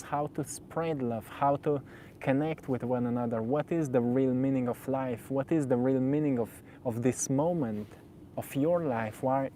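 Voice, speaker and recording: Mellow-voiced young man, native Slovenian. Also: mid-range voice, slight background noise. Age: 20s. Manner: gentle, searching, repeats phrases. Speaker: spiritual video host. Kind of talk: reflective spoken monologue.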